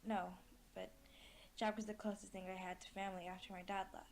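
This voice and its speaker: A woman's voice: medium pitch, flat delivery, fairly quick, close to an everyday voice. Wistful, lonely young heroine with background noise played by a woman. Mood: guarded. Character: wistful, lonely daughter of a time-travelling hero.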